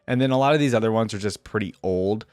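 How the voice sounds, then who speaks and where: A man's voice: on the low side, fast talker, a little mellow, smooth, dry recording, studio quality. A man hosting a reaction video, commenting casually and off the cuff in a conversational way, thinking aloud as he goes.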